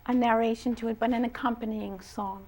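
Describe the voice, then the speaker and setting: A woman talking casually as an interview guest, often pausing mid-phrase. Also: on the high side, steady tone, darkish tone. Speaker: guest, writer and composer.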